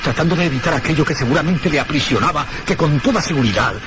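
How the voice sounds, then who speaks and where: An actor playing a haunted man recounting his ordeal in a radio horror drama, with theatrical, deliberate phrasing. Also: on the high side, noisy background, close to an everyday voice. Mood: haunted dread.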